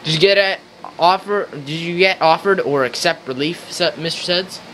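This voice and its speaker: An earnest old-time radio interview host played by a young man. His voice is fairly high, reading scripted questions in an amateur skit with faint noise behind. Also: normal speed, fairly bright tone, natural voice, rough recording. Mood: questioning. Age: young adult.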